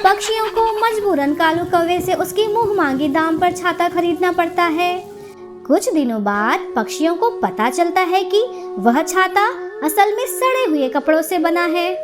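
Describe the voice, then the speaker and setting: A woman's voice: very high-pitched, lively intonation, moderate pace, music in the background. A woman reading scripted narration for a children's story.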